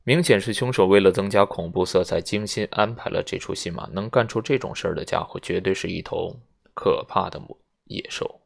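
A man's voice: low voice, fairly quick, creaky, few pauses, exaggerated voice. A man voicing a hard, cold adventurer who speaks curtly and turns frantic under strain, in an audiobook. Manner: cold and curt, then frantic.

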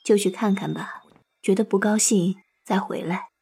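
A woman acting a gentle, sorrowful Buddhist nun master, speaking in a measured, wistful way in a Chinese costume audio drama. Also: medium pitch, gravelly, slightly rough. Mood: kindly.

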